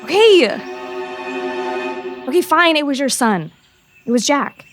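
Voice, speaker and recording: Woman playing a high-pitched, earnest teenage girl. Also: very animated, slow, music in the background, heavily acted. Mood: nervous, reluctant. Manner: stammering, pleading. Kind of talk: audio drama acting.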